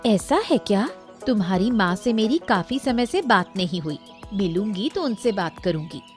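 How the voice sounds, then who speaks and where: A woman voices a friendly, inquisitive village aunt in a cartoon folk tale. Her mid-pitched voice rises and falls expressively over soft music. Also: animated, moderate pace, natural voice. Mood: friendly, curious. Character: friendly, curious village auntie. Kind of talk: animated Hindi moral-story voice acting.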